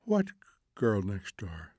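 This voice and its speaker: A man's voice: medium pitch, lively intonation, measured pace, no echo, clean studio sound. Man acting a vague, hesitant, drowsy wife. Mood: drowsy, faint. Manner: hesitant, trailing off, repeating words. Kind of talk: dramatic audiobook character reading.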